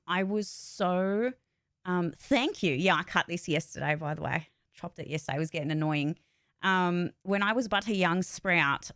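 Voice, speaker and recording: A woman's voice: mid-range voice, dry recording, studio quality. A woman chatting casually and informally on a livestream, rambling a little as she gives updates. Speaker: voice actor and streamer giving updates.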